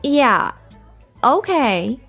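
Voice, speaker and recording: A woman plays a naive, kindly nightclub dancer from an old noir radio comedy. Her voice is on the high side and lilts widely, earnest and a little dim, over faint music. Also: very animated, extremely slow, a bit bright, heavily acted. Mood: trusting. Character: sweet, naive, slightly dim nightclub showgirl.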